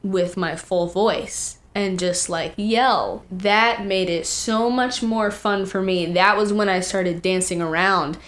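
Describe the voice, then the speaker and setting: A teenage young woman chatting casually, telling stories in an animated way with acted-out quotes and plenty of "like". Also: medium pitch, slow, few pauses. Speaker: young singer and songwriter, interview guest. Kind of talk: casual chat, storytelling.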